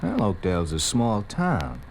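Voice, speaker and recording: A man's voice: on the low side, huge pitch swings, measured pace, heavily acted. An actor playing a brash, leering secret police henchman in a 1950s radio drama, with cocky, glib, slangy delivery. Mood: sly, leering. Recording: hissy, noisy old radio recording.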